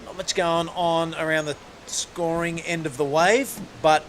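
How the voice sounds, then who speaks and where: A man's voice: a little high, steady tone, measured pace, fairly bright tone, slight background noise. A man hosting live surf commentary, calling rides in a laid-back, matey way.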